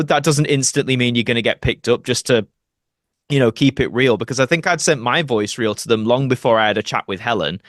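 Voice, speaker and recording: A man's voice: medium pitch, a bit bright, dry recording. Chatty Yorkshire man in a dry recording. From Doncaster, Yorkshire, England. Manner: chatty, colloquial, rambling anecdotes. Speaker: actor, podcast guest. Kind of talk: casual interview storytelling.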